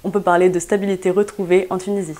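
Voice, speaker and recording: A woman's voice: lowish voice, flat delivery, fast talker, dark tone. A young woman explaining news items in a student bulletin, in a scripted, explanatory way. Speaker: news correspondent.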